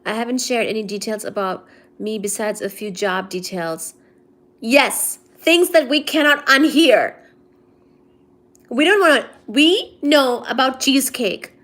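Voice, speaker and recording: A young woman from India hosts a casual livestream, her voice sitting slightly high. She reads viewer comments aloud and teases the chat in an easygoing way. Her energy is low today. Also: expressive, measured pace, a bit bright. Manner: chatty, teasing, reads chat aloud and answers it. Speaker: livestream host.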